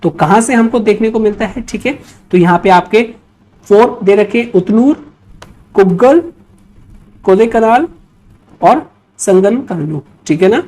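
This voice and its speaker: Mellow-voiced Indian woman teaching. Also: medium pitch, animated, a little slow, pauses often. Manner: instructional, often checking in with short tags. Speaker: history teacher for exam preparation. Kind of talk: explanatory lecture working through quiz questions.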